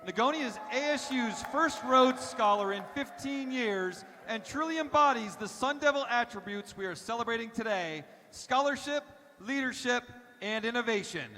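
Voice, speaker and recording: A man's voice: extremely high pitch, lively intonation, moderate pace. A man giving a formal scripted introduction as a ceremony announcer. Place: large ceremony hall, at a podium. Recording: reverberant hall, crowd, loud background noise.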